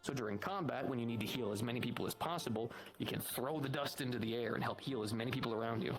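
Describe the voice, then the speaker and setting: Male, mid-pitched, patient in-character explainer for a fantasy guild insect keeper, soft music underneath. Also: quick pace, low-quality recording. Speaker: roleplayer playing a guild insect expert. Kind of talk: improvised in-character explanation in an online roleplay. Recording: faint music behind the voice. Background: faint music.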